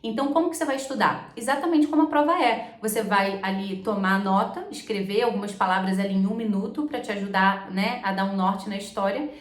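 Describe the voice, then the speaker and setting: A woman's voice: mid-range voice, a little fast, hardly any pauses. A woman with a Brazilian Portuguese accent explaining exam tips to the camera as an English teacher. She checks in with her listeners often. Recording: echoey room.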